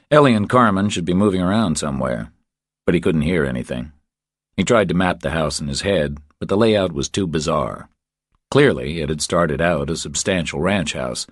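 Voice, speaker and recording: A man's voice: deep voice, no echo, studio quality. A man reading a crime thriller audiobook as scripted narration.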